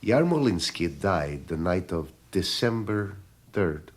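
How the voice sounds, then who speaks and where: An actor playing a menacing, dandyish crime boss in a radio drama, vengeful yet theatrically courteous, sometimes sinking into hushed intensity. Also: male voice, low voice, very animated, takes it slowly, exaggerated voice. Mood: gloating. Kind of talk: 1980s radio drama acting.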